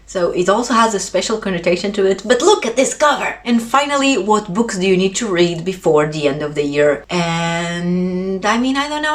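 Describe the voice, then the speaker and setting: Lower-voiced woman, expressive book vlogger. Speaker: book reviewer video host. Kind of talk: casual chat to camera.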